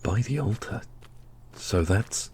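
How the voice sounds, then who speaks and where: A man playing a wry, teasing immortal brother in a fantasy audio roleplay, speaking deliberately. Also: lowish voice, expressive, a little mellow, slight background noise. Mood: awed.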